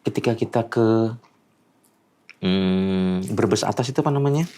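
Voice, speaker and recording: A man's voice: lowish voice, a little slow, warm tone. A middle-aged man talking casually in an interview, explaining animatedly and acting out callers' voices.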